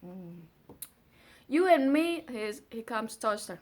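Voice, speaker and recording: A woman's voice: a little high, big pitch swings, slow pace, a little hiss or hum. A woman with a Vietnamese accent, a native Vietnamese speaker, casually reading a poem with playful, chatty asides. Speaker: presenter reading a translated poem.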